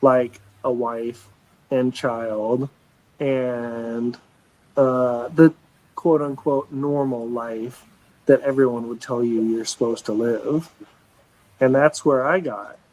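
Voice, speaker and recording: A man's voice: medium pitch, fairly even intonation, takes it slowly, a little mellow, low-quality recording. Earnest rambling man in his forties. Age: 40s. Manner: rambling and earnest, with frequent restarts and casual swearing. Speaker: livestream show regular. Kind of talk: casual live chat.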